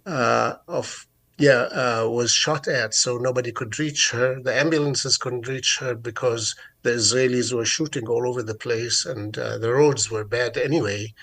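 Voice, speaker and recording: Radio guest, middle-aged man from Jerusalem, halting delivery full of fillers, mid-pitched. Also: steady tone, vocal fry, fairly bright tone. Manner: hesitant, many fillers and restarts. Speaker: guest activist. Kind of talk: radio interview.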